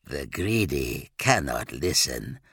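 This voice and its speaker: A man voicing a dead warrior's bony apparition in an audiobook, solemn, husky, measured and fatalistic. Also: very deep voice, big pitch swings, a bit bright, no room sound, studio quality, voice pushed down, full character voice. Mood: grim fatalism.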